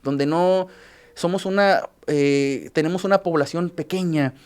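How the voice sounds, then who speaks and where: Man, Mexican Spanish accent, somewhat high voice, radio news guest, halting with fillers. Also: a little mellow, clear, resonant tone. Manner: halting, restarts with filler sounds, recapping cases.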